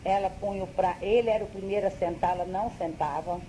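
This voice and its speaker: Middle-aged woman, mellow and explaining. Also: medium pitch, fairly even intonation, quick pace, a little hiss or hum, poor audio. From Brazil. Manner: explanatory, hedging, reasoning aloud. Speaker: interviewee, daughter. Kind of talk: oral history interview.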